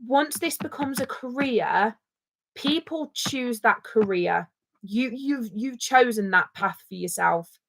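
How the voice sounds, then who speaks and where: A woman's voice: on the high side, animated, takes it slowly, gravelly, a bit bright, low-quality recording. A woman co-hosting a casual livestream chat, speaking with passion and repeating her points for emphasis. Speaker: co-host.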